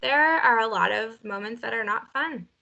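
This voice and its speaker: A woman with a bright, gravelly voice gently guiding a tapping exercise. She speaks encouragingly, giving short phrases for others to repeat. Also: a little high, moderate pace, highs cut off. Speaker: tapping session leader. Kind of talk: guided emotional freedom technique session.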